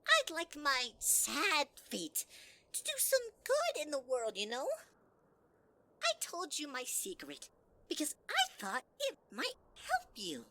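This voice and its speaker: A sickly-sweet flower creature purring threats in a bright, high, swooping singsong. He drawls slowly and mocks with false kindness. A faint hiss of noise sits behind him in this fan audio drama. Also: huge pitch swings, exaggerated voice. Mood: sugary. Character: sugary, sinister male flower creature, manipulative.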